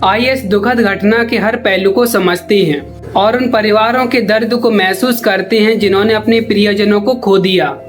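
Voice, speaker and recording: A woman's voice: mid-range voice, steady tone, normal speed. A woman delivers scripted documentary-style news narration. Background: background music.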